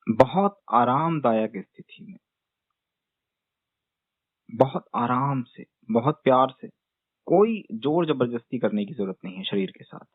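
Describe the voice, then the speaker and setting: A man leading a guided meditation with gentle, unhurried step-by-step instructions and long pauses, as a teacher addressing a class. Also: medium pitch, expressive, dry recording. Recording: dull phone-like narrow-band sound.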